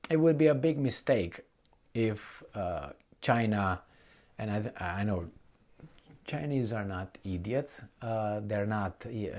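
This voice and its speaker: A man giving an unscripted, opinionated political commentary, speaking bluntly and colloquially, with halting repetition and rhetorical questions to the listener. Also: on the low side, a little mellow. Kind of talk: unscripted opinionated monologue to viewers.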